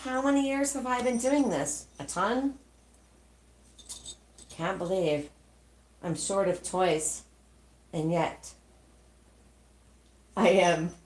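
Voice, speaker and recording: A woman voicing a kindly old Santa Claus in a storybook, speaking in a halting, tender way. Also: fairly low pitch, reverberant, a little hiss or hum. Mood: sheepish, halting.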